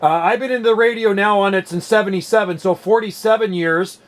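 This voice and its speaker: Guy about 60, kinda high voice, chummy casual ham radio chat. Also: brisk. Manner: chummy, complimentary. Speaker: amateur radio operator.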